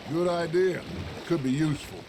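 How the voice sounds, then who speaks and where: Man acting an assured American outlaw leader, highish voice. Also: moderate pace, noisy background, natural voice. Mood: upbeat. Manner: expansive, musing, assured. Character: philosophical, grandiose middle-aged male outlaw gang leader. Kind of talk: video game acting. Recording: water and boat sounds behind.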